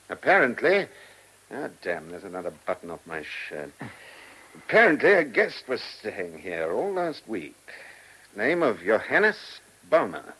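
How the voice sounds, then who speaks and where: A man's voice: fairly low pitch, pushed and bright, husky, heavily acted, poor audio. An actor playing an irritable, shrewd male chief inspector in a radio play, brusque and commanding, given to muttered asides. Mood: brisk, curious.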